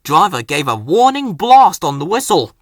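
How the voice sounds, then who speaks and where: A man reading a children's storybook aloud in an expressive, dramatic way. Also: high-pitched, moderate pace, no echo, clean studio sound. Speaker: story narrator. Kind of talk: scripted children's storybook narration.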